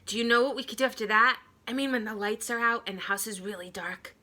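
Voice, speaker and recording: A woman voicing an excitable young boy full of plans, rattling off lists gleefully in a storybook reading. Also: fairly high pitch, fast talker. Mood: gleefully spooky. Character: Reggie, excitable, fast-planning young boy friend.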